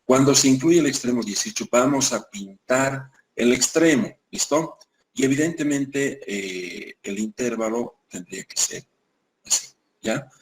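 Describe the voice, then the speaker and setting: Male math lecturer, mid-pitched, patient and repetitive, checks in with students constantly, slows right down on key rules. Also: lively intonation, rough recording. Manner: repeats points, keeps checking with "¿listo?". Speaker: math teacher. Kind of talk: classroom lecture.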